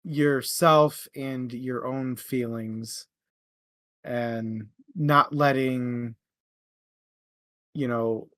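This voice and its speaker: A man co-hosting a casual book discussion, animated in how he makes his points. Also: mid-range voice, drawn-out words, long pauses, no echo, clean studio sound. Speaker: book podcast co-host.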